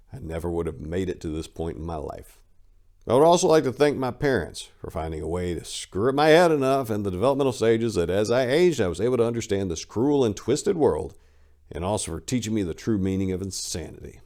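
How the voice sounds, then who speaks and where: Low-voiced man giving a dramatic reading. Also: very animated, brisk, darkish tone. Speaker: audiobook reader. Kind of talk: dramatic reading of a book's acknowledgments.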